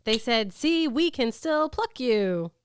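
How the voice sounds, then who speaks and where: A woman's voice: fairly high pitch, lively intonation, takes it slowly, clear, resonant tone, dry recording, studio quality. A woman chatting casually as a panelist on a talk show. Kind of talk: casual chat.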